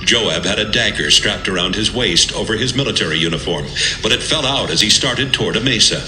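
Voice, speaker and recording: A man narrating scripture from a script in a measured, dramatic storytelling manner. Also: lowish voice, animated. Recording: echoey, music bed behind.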